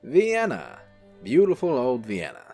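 An actor playing a charming, mysterious angel in human guise, ingratiating, in period drama style. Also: male voice, mid-range voice, huge pitch swings, measured pace, a little mellow, soft background music. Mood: effusive, charming. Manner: smooth-talking, ingratiating. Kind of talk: period radio-drama acting.

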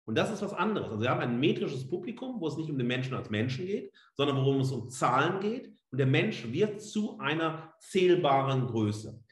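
A man's voice: medium pitch, sing-song, a little fast, few pauses. A middle-aged man, a professor giving an online lecture in a freely spoken, emphatic, conversational way. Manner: emphatic, conversational, explanatory with rhetorical questions. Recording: roomy, echoing room sound.

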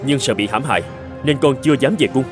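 A man's voice: mid-range voice, fast, over music, natural voice. A man voicing a gallant young prince in a fairy-tale cartoon. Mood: earnest recounting.

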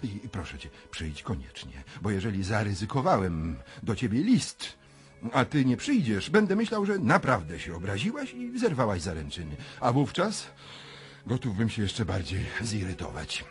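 An actor playing a cold, calculating, irritable fiancé in a radio drama, speaking with measured, formal self-assurance. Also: male voice, lowish voice, very animated, soft background music. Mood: tense, controlling. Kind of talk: 1990s Polish radio drama acting.

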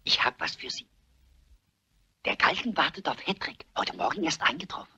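A man's voice: on the high side, sing-song, normal speed, pushed and bright, slightly rough, exaggerated voice. An actor playing a mild, loyal elderly male librarian, courteous, fussy and hesitant, in a vintage film dub. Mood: courteous, apologetic.

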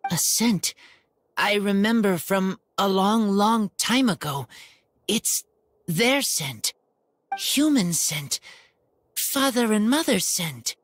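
Husky, halting wild boy. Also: mid-range voice, lively intonation. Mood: wistful. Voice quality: husky, breathy. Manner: halting, terse broken sentences. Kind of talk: video game character acting.

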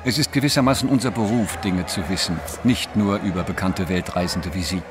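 An actor playing a confident, flamboyant French journalist with an emphatic manner, in a German audio drama. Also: male voice, low voice, brisk, music in the background, close to an everyday voice. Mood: dramatic, knowing. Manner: emphatic, self-assured.

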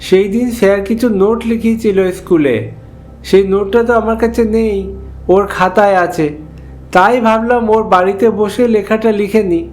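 A man's voice: high-pitched, fairly even intonation, moderate pace, darkish tone, lightly acted. A man voicing a timid, wheedling village schoolboy in a cartoon story, hesitant and pleading.

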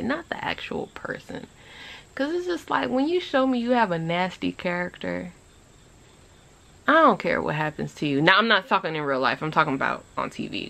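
A woman in her twenties chatting casually to a phone camera on an outdoor porch, animated and rambling. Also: gravelly. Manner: animated, chatty, rambling with lively pitch jumps. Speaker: vlogger. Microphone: phone camera at arm's length.

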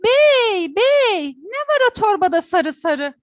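A woman's voice: very high-pitched, big pitch swings, takes it slowly, no echo, clean studio sound, voice pushed up, full character voice. A woman voicing a humble, trusting village wife in a folk tale, plain-spoken and given to exclaiming. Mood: curious.

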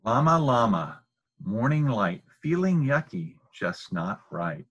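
A middle-aged man with a husky voice reads a children's picture book aloud in a deliberate, sing-song way. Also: mid-range voice, sing-song, a bit bright, dull audio. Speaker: storybook reader. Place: small indoor room.

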